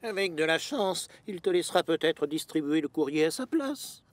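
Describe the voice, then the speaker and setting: A man's voice: a little high, expressive, normal speed, dry recording, lightly acted. An actor voicing a grumpy, haughty male train engine in a French cartoon dub.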